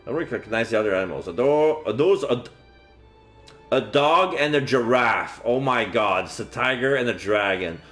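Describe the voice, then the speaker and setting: A man's voice: medium pitch, lively intonation, normal speed, fairly bright tone. A man reading a visual novel aloud on a stream, with casual asides as he plays. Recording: faint music behind the voice. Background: game music.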